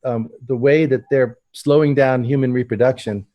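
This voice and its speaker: A man hosting a casual interview, talking earnestly with halting starts and repeated words. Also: mid-range voice, steady tone, warm tone. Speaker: host.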